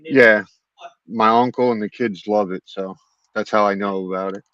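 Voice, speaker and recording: A man's voice: lowish voice, fairly even intonation, normal speed, fairly bright tone, no room sound. A man from Florida chatting on a voice call in a laid-back, hesitant way. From Florida, USA. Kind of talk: casual voice chat. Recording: dull, dry voice-call audio.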